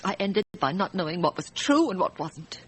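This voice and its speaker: A woman playing a frightened, distressed wife in a radio drama, recounting her story in a halting, hesitant way. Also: on the low side, big pitch swings, breathy edge. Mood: weary, bitter. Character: frightened, distressed wife testifying about a night shooting.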